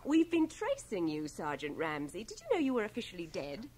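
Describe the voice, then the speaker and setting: Brisk, teasing young nurse. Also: female voice, fairly low pitch, huge pitch swings, darkish tone, slightly rough, heavily acted. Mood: playful, proud. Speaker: radio drama actress. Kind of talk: 1980 radio drama acting.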